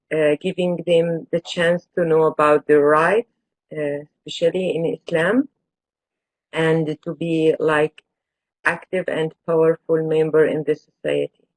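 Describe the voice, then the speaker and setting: A woman speaks slowly and in a low voice over a video call. She chooses her words carefully, pausing to find them. The sound is dry and close. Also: no echo, rough recording. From Syria. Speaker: panelist, women's empowerment worker. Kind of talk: panel self-introduction.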